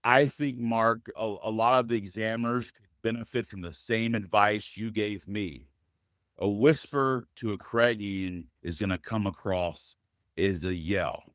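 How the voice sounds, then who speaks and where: A man with a deep, loud voice, speaking as a guest in an interview in an earnest, measured way and often addressing the host by name. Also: no room sound, studio quality. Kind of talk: interview conversation.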